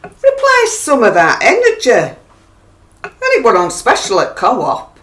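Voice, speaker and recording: A woman's voice: on the high side, huge pitch swings, a little slow, a bit bright, heavily acted. A woman acting a weary, put-upon Yorkshire housewife in a radio play, with a dry, grumbling way of talking. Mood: fussing. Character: weary, put-upon married northern English housewife, unimpressed.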